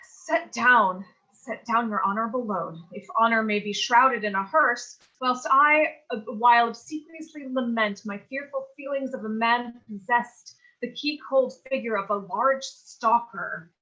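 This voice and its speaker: A woman's voice: a little high, measured pace, pushed and bright. A woman playing an anxious, bookish teenage girl in a mock-Shakespearean staged reading, speaking earnestly in theatrical archaic language. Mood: mournful. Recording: dull lo-fi sound.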